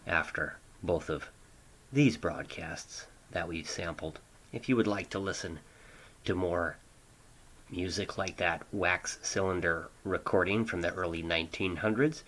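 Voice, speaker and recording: A very low-voiced man hosts a radio program. He speaks slowly, in measured, halting phrases, with a reflective, unhurried delivery. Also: fairly bright tone. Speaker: host of an old-time radio program. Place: home studio.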